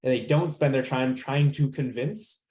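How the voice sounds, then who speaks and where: A man's voice: medium pitch, normal speed, a little mellow. A man hosting a discussion in a conversational, informal way, thinking aloud and posing rhetorical questions. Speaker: panel moderator. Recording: roomy, echoey sound.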